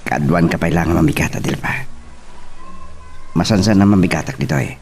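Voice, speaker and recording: A man's voice: sits low, moderate pace, creaky, darkish tone, soft background music. A man with an Ilocano accent acting an everyday man in conversation in a domestic romance radio drama. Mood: conversational.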